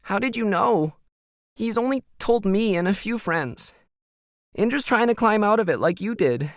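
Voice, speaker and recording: Guy doing a teen girl, upset and pleading, voice pushed way up high, dry story recording. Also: lively intonation, moderate pace, no echo. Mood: surprised, earnest. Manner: tearful, protesting. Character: distraught teenage daughter, earnest and pleading. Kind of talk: audio fiction character dialogue.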